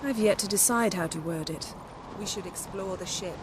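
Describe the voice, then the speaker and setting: Poised, assertive sorceress played by a woman, mid-pitched. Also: normal speed, noisy background, lightly acted. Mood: composed, assertive. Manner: articulate, precise, self-assured. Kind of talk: video game voice acting.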